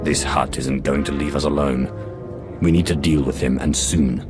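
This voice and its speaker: An actor playing a cold, ruthless Sith lord who speaks in a measured, imperious way in a game cutscene. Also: male voice, very deep voice, fairly even intonation, over music. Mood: stern. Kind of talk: video game cutscene acting.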